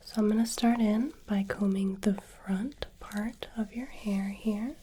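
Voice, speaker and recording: A woman whispering gently through a caring ASMR personal-attention roleplay, her voice a little hoarse and sniffly from hay fever. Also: medium pitch, fairly even intonation, unhurried, slight background noise. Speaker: ASMR creator.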